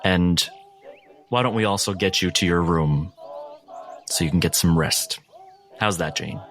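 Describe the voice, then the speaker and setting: A man playing a calm, quietly controlling asylum doctor in a tabletop roleplay, softly solicitous and condescending. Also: sits low, a little slow.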